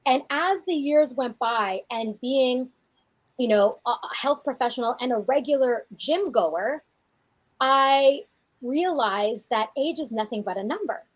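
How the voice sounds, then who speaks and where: A woman in her forties, a fitness coach co-hosting a podcast, talking in a chatty, animated way with frequent restarts. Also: sits high, a little slow, poor audio. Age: 40s. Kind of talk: casual podcast chat.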